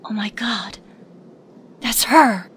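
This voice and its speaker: Determined, higher-voiced young heroine, acted by a woman. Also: slow, fairly bright tone, slight background noise. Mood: tense, determined. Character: determined, eager young female superhero. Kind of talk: audio drama acting.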